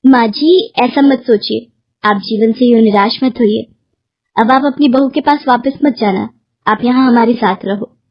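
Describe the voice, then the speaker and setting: A woman's voice: a little high, measured pace, muffled recording. A woman voicing a caring, respectful young village henna artist in a story. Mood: reassuring.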